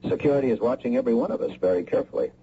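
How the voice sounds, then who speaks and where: An actor playing a guarded, wry middle-aged government lawyer and secret agent in a radio mystery drama. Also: male voice, mid-range voice, racing, warm tone, close to an everyday voice. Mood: guarded, dismissive. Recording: muffled, noisy old radio broadcast.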